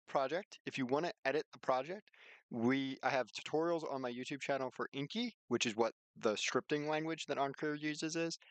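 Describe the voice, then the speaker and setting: A male streamer talks in a loose, informal ramble full of slang. His voice sits in a middle pitch. The sound is close and dry, with little room around it. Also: no echo. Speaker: live streamer and game developer. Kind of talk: casual livestream chat and explanation.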